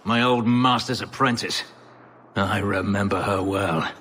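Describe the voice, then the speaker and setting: Deep-voiced, brooding elf warrior. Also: male voice, steady tone, a little slow, bright, forceful tone, a little hiss or hum. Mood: wary, resentful. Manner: grim, terse, formal phrasing. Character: bitter, brooding male elf, escaped slave warrior. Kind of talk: video game voice acting.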